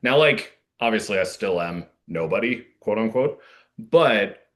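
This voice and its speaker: A man with a fairly low voice talks casually as an interview guest. He is reflective and somewhat halting, working out his thoughts aloud as he goes. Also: expressive.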